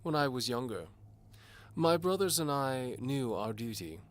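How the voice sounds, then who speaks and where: A man's voice: mid-range voice, expressive, unhurried, pitched above the performer's own voice, natural voice. A man voicing a doddering, pompous old duke and amateur alchemist in an audio drama, fussy and formal. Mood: stern. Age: older. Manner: pompous, formal, hesitant blustering. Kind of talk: audio drama character acting.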